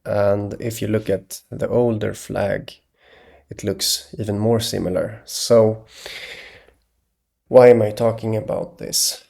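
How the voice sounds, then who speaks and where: Man, solo vlog monologue, hesitant, dark mellow tone, lowish voice. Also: steady tone, creaky. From Sweden. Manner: hesitant, full of pauses and restarts. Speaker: video blogger. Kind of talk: rambling solo monologue to camera.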